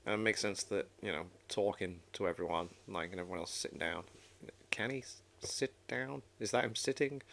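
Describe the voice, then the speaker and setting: A man's voice: lowish voice, a little fast, creaky, fairly bright tone, a little hiss or hum. A man with a head cold chats informally and enthusiastically as a reaction host. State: congested with a cold. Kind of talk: casual chat and short reactions.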